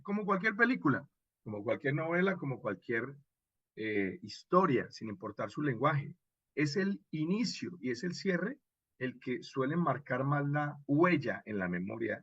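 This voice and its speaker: A man giving an informal online lecture as an instructor, expressive and didactic, often checking in with short questions. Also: on the high side, slightly rough, no echo, lo-fi sound. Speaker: radio drama instructor.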